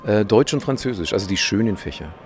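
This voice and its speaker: Male teacher-poet, quick, low voice, self-deprecating, hesitant. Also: animated, soft background music. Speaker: teacher and poetry slammer. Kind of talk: casual interview answers.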